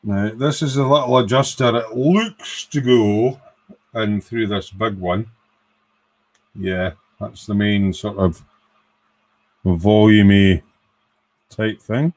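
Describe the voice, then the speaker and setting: Slow, thinking-aloud man at a workbench. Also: fairly low pitch, darkish tone. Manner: unhurried, thinking aloud while counting parts. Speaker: electronics kit reviewer. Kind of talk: casual live build commentary. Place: workbench in a home workshop.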